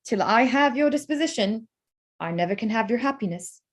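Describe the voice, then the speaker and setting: A woman playing a witty, teasing young gentlewoman in a period drama reading, wry and measured. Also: on the high side, expressive. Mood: playful.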